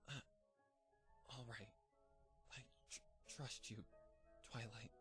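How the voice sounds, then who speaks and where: A man voicing a frightened, tearful young female pony who speaks in a hushed, stammering voice and holds back sobs. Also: mid-range voice, fairly even intonation, slow, over music, close to an everyday voice. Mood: timid.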